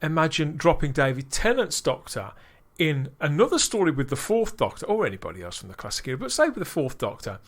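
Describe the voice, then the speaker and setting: A man's voice: on the high side, very animated, a little fast, hardly any pauses. A man hosting a podcast, thinking aloud in long, musing sentences during a casual discussion. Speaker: podcast host.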